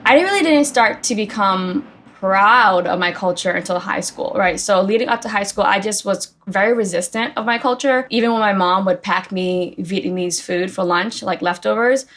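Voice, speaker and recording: A woman's voice: medium pitch, moderate pace, hardly any pauses, fairly bright tone. Chatty Boston-raised woman in her thirties. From Boston, United States. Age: 30s. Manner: chatty, frequent "like" and "right?" tags. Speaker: guest, coffee company founder. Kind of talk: casual interview conversation.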